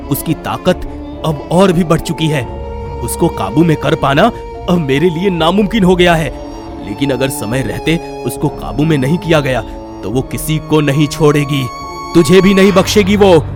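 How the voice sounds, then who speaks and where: Man acting tantric holy man, grave, dramatic warnings, swooping intonation, clear music bed, horror cartoon. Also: fairly high pitch, voice pushed up, close to an everyday voice.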